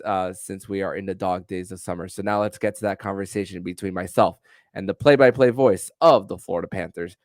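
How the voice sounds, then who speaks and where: Deep-voiced energetic podcast host man. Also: smooth, dry recording, studio quality. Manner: energetic, restarts sentences often. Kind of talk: sports podcast chat.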